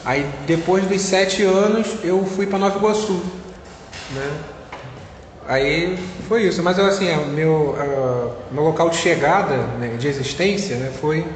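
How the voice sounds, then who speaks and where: A man's voice: fairly high pitch, measured pace. A young man with a Carioca accent, a physics student being interviewed, talking casually with many fillers and restarts. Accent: Carioca Brazilian Portuguese. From Rio de Janeiro, Brazil. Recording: echoey room with long reverb, faint noise.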